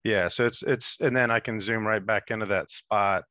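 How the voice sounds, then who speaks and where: A man in his thirties walks through a software demo over a video call, easygoing and full of fillers. His voice sits low but sounds bright. The headset sound is dry and close. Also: normal speed, no room sound. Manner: relaxed, explanatory, many fillers. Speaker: app demonstrator. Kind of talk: screen-share software demo.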